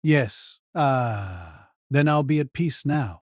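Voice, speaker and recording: Audio drama role: dying father played by a man, gentle, weary, wistful, resigned; dry studio sound. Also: mid-range voice, animated, takes it slowly, no room sound. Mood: at peace.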